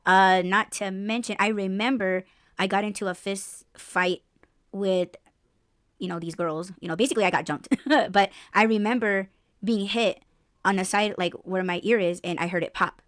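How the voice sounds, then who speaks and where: A woman hosting a podcast in casual chat, loud in tone, laughing often and swearing freely, with little bass in her voice. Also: steady tone, moderate pace, dry recording, studio quality. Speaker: podcast host.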